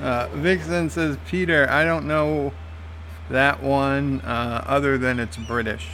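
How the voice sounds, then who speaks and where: A man talking at an unhurried, drawn-out pace as he reads his viewers' comments and replies to them. His pitch sits in an ordinary middle range, and he fills gaps with "uh". Faint background noise hums under his voice. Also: steady tone, fairly bright tone. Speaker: game streamer reading live chat. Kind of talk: casual live-stream commentary.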